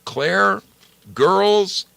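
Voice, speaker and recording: Give this ghost story figure a raised, strained pitch and a loud calling delivery. He is an anxious man searching for two girls, acted out by a male reader. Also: lively intonation, drawn-out words, creaky, dry recording, heavily acted. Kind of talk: dramatized ghost story reading.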